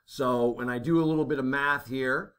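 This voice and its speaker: A man's voice: medium pitch, fairly even intonation, normal speed, fairly bright tone, smooth. A man teaching a navigation math lesson, working a problem step by step and thinking aloud, checking in with "okay?" and plenty of fillers. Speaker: navigation instructor.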